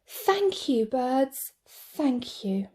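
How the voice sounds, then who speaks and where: A storybook fox cub, played by a woman, small, anxious and caring. He speaks in a high, very expressive voice with a soft tone, earnest and pleading as he looks after what he loves. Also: extremely slow, full character voice.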